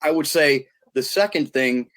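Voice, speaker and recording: A man's voice: a little high, unhurried, fairly bright tone. Earnest middle-aged man with restarts. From United States. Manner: earnest and emphatic, with frequent restarts. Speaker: guest, youth sports consultant. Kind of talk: podcast interview talk.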